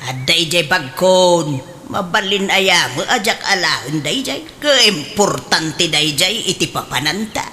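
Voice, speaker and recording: A woman's voice: on the low side, slow, a little hiss or hum, exaggerated voice. A woman playing a gossipy, pious, scolding village aunt in a comedic radio drama, exclamatory, with a Filipino accent. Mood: unhurried, chatty. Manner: expressive, exclamatory.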